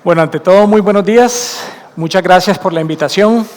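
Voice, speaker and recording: Man, Colombian accent, high voice, conference talk, earnest explaining, echoing hall. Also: a little fast, a little mellow, some chatter behind. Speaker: conference speaker. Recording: long hall reverb from a conference room.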